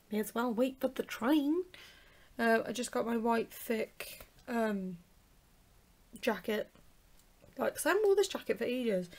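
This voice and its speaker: Croaky young woman vlogging to camera. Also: on the high side, a little mellow. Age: young adult. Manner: rambling, chatty, self-correcting. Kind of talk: casual vlog chat to camera. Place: home bedroom, then a car. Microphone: handheld camera at arm's length. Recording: background noise from the car.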